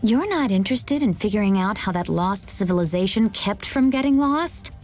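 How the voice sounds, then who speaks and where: A woman plays a composed young warrior from an anime game. She is poised and gently teasing in a mid-range voice, with soft music behind. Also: medium pitch, moderate pace, close to an everyday voice. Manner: calm, poised, knowing. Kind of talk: anime video game voice acting.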